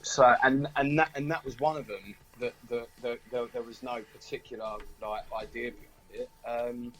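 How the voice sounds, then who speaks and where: British bloke, musician in a radio interview, casual n rambly, kinda hesitant, average pitch. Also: male voice, fairly even intonation, moderate pace, a bit bright, rough recording. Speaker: band singer-songwriter being interviewed.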